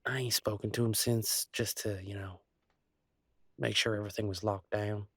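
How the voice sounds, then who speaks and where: Man playing a low-voiced werewolf boyfriend. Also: steady tone, fairly bright tone, no room sound, clean studio sound. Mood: hesitant, uneasy. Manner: folksy, drawling slang, halting asides. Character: easygoing, affectionate rural working-class werewolf boyfriend. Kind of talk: intimate roleplay audio drama, conversational.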